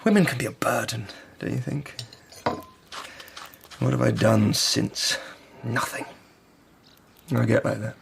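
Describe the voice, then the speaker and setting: Gravelly, dispirited gentleman writer. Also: mid-range voice, steady tone, unhurried, long pauses, a little hiss or hum. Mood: brooding, confiding. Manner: hesitant, musing, faltering. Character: self-absorbed, dispirited educated writer adrift in disaster. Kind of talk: naturalistic period radio drama acting.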